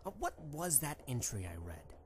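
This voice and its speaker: A woman's voice: extremely low pitch, sing-song, normal speed, a little mellow. A woman playing a young pony prince and fond husband, a protective worrier, conversational and earnest in an amateur audio drama. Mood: fond, teasing. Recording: slightly noisy amateur microphone recording.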